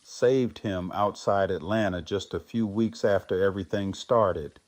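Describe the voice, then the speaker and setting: A man acts out a widowed survivor, haunted and reflective. He speaks very slowly and haltingly, in a subdued way, recorded close and dry for audio drama. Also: deep voice, no room sound. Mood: reflective storytelling. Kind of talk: fan audio drama acting.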